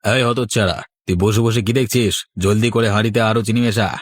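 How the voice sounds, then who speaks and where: Guy playing a crafty cartoon crook boss, low voice, brash and bossy, very dry sound. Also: steady tone, fast talker, husky, no room sound. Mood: bossy, scolding. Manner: scolding, brash. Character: bossy, crafty male swindling market vendor. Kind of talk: cartoon voice acting.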